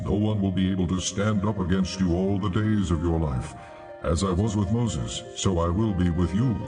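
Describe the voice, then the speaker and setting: An actor playing God in a dramatized scripture reading, solemn, measured and authoritative. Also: male voice, deep voice, natural voice. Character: God, solemn commanding male divine voice. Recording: music under the voice.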